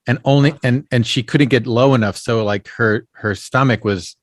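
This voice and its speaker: A man's voice: medium pitch, normal speed, dry recording, clean studio sound. A man, an actor and puppeteer, telling family stories in a casual podcast interview, rambling and full of "you know" and "um". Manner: rambling storyteller, leans heavily on "you know" and "um".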